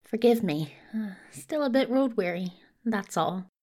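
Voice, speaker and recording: A woman's voice: mid-range voice, lively intonation, measured pace, mellow. A woman playing a tired, meek and polite younger brother, hesitant and apologetic, in a fan audio drama. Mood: weary, apologetic.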